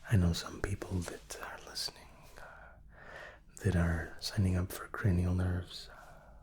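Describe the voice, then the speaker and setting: A man gently whispering an ASMR medical roleplay as a reassuring, unhurried doctor. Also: low-pitched, little pitch movement. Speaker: ASMR roleplay host playing an examining doctor.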